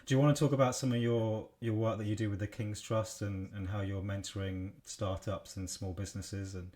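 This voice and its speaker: A man's voice: lowish voice, level tone, fairly quick, a little mellow. A man hosting a casual interview, asking long, rambling questions in a friendly way. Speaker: host.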